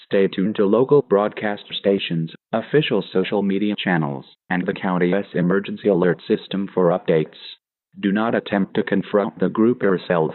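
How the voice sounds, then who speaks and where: Tinny, low-pitched male emergency alert announcer. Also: moderate pace. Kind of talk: scripted emergency broadcast announcement. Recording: narrow tinny phone-like radio sound.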